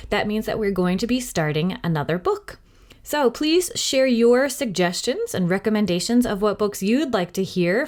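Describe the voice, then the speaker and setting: A woman hosting a children's storytime, narrating expressively and addressing young listeners directly. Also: medium pitch. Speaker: library storytime host and reader.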